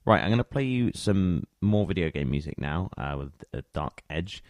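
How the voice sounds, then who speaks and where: A man's voice: low-pitched, expressive, moderate pace, darkish tone, no room sound. A man chatting casually as he hosts a music radio show. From England. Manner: chatty, conversational.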